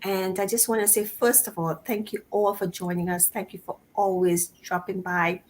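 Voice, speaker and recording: A woman's voice: mid-range voice, steady tone, normal speed, darkish tone, a little hiss or hum. Bubbly woman hosting an online show. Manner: bubbly, laughs often, enthusiastic. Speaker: show host.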